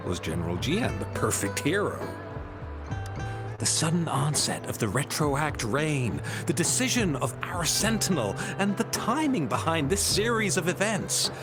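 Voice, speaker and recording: Slow, theatrical male storyteller. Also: on the high side, sing-song. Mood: dramatic, rhetorical. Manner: theatrical, rhetorical, deliberate. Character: theatrical, musing male storyteller, philosophical and dramatic. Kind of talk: video game voice acting, dramatic storytelling. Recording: background music under the voice.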